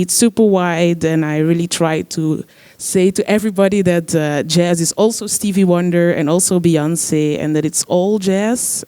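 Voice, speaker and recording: A young man, a trombone player, answering on a discussion panel in a searching, halting way with many fillers. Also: on the high side, dark tone, smooth, no echo. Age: young adult. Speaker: musician guest, trombone player.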